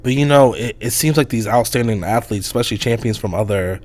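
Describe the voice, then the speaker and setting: A man hosting a casual sports podcast, talking through a hoarse voice in a loose, rambling way with lots of fillers. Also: mid-range voice, quick pace, a little mellow. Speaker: podcast host.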